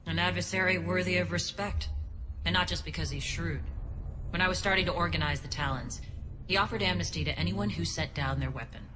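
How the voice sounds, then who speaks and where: An actress playing a principled, wary alien ex-soldier who leads a resistance in a video game, earnest and measured. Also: female voice, on the low side, natural voice. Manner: earnest, measured, dryly pointed. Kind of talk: dramatic video game acting. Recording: long reverb, noisy background.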